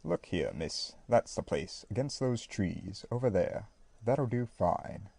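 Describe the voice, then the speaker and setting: A woman's voice: very deep voice, expressive, slow, dark tone, slightly rough, no echo, pitched below the performer's own voice, exaggerated voice. A woman voicing a lanky, friendly male workman who talks plainly and casually. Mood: decisive. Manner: plain-spoken, casual working-class phrasing.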